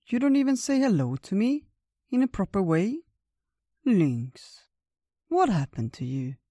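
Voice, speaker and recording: A storyteller voicing a wise, gentle old male bear in a theatrical, drawn-out way for a children's tale. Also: mid-range voice, very animated, no room sound, voice pushed up. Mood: hospitable, teasing. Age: older.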